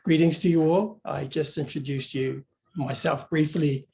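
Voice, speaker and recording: A man's voice: on the high side, steady tone, a little slow, creaky, a little mellow. A man from New Zealand on a video call panel, giving a ceremonious formal greeting and introducing himself. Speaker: panelist and researcher.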